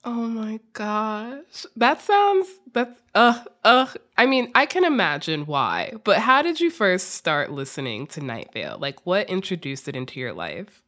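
A woman hosting a podcast in a casual, expressive, reactive chatty style. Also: medium pitch, a little slow. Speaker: podcast host. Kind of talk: casual interview chat.

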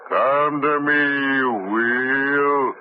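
An actor playing a big, strong, slow-witted sailor who talks haltingly, in an old radio drama. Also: male voice, medium pitch, steady tone. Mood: drunk. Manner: halting, dim, drunken slur. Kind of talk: 1950s radio drama acting. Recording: tinny old radio broadcast, faint hiss.

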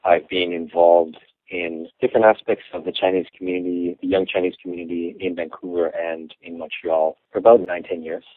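A young Canadian man answering interview questions in a measured, explanatory way, with some restarts. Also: deep voice, little pitch movement, no room sound. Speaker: interview guest. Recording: tinny phone line.

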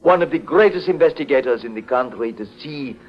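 An actor playing a polite, anxious foreign exporter in a 1940s radio detective drama, speaking formally and hesitantly. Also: male voice, mid-range voice, lively intonation, fairly quick, lightly acted. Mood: hesitant, pleading. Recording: dull old 1940s radio broadcast.

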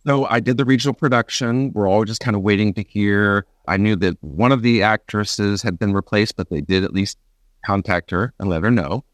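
A man's voice: lowish voice, moderate pace, no room sound. A man hosting a conversational interview, talking in an agreeable, sympathetic way as a fellow actor.

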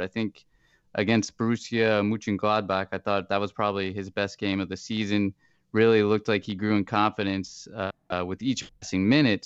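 A man's voice: on the low side, clear, resonant tone, no echo. A man giving casual football analysis as a podcast co-host, on a dry, slightly dull recording.

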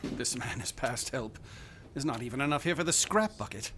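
An actor playing a cold, obsessive army surgeon who reanimates the dead, talking in a clipped, commanding, calm way. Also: male voice, medium pitch, normal speed, a little hiss or hum. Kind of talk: radio drama acting. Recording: radio play with music bed.

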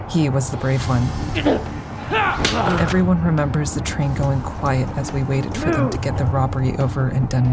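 Haunted survivor telling her story over music. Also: rumbling low. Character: traumatized woman survivor recounting a train shooting. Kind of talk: full-cast audio drama monologue.

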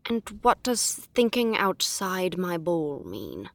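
An actress playing a wary, reserved woman writer in an audio drama, speaking in a measured, formal way. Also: fairly low pitch, huge pitch swings, vocal fry, no echo, exaggerated voice.

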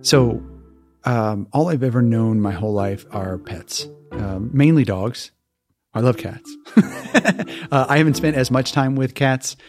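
A man from Hawaii, a podcast guest telling relaxed, casual stories and laughing easily. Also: mid-range voice, a little slow, music in the background. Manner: relaxed, laughs often, restarts words. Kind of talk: casual interview storytelling.

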